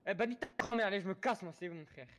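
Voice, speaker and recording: High-pitched, brash, slangy man gaming. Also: rapid-fire, noisy background. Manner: exasperated, brash, foul-mouthed. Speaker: GTA roleplay player. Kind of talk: casual game roleplay banter, street slang.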